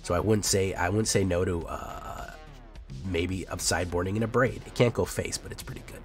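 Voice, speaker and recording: A man's voice: deep voice, steady tone, moderate pace, soft background music. A man hosting a casual card-game deck breakdown, upbeat and hammy, his voice a little off from being sick. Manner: upbeat, hammy sign-off banter. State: sick, voice sounds off. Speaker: host.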